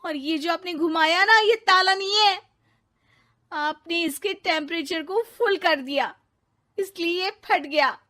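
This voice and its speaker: A woman's voice: very high-pitched, unhurried, bright, forceful tone, full character voice. A woman voicing a young, modern daughter-in-law in a cartoon story, earnest and exasperated as she explains things. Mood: exasperated explaining.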